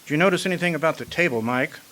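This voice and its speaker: A man's voice: fairly high pitch, very fast, fairly bright tone, natural voice. A man playing a folksy homicide sergeant in an amateur radio mystery, matter-of-fact and musing with hems and haws. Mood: probing, musing. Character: brisk, folksy male police homicide sergeant in charge. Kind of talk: amateur old-time radio detective acting. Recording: light hiss and background noise.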